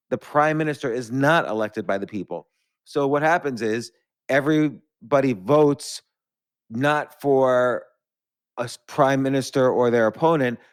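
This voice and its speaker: Smooth-voiced man explaining casually. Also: mid-range voice. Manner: rambling, self-deprecating explainer. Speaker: podcast host.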